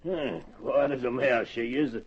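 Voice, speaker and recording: A man's voice: medium pitch, measured pace, slightly rough. An actor playing a blunt, teasing bush horseman with an Australian accent and folksy country speech in an old radio drama. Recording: muffled old radio transcription recording.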